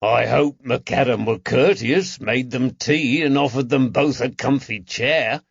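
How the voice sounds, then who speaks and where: A man's voice: medium pitch, measured pace, creaky, breathy edge, no room sound, voice pushed up. Guy doing a blunt copper, wry and dry, muffled dead-sounding recording.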